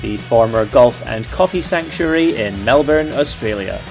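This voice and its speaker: A man hosting a casual interview, asking questions and giving quick easygoing affirmations. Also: mid-range voice, animated, creaky, music in the background. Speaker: podcast host.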